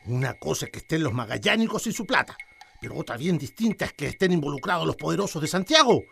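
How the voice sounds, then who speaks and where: A man's voice: a little high, very animated, fast, gravelly, fairly bright tone, a little hiss or hum, heavily acted. An actor with a Chilean accent playing a cautious, authoritative newspaper director in a radio drama, speaking emphatically and repeating his words. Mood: wary. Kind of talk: Spanish-language radio drama acting.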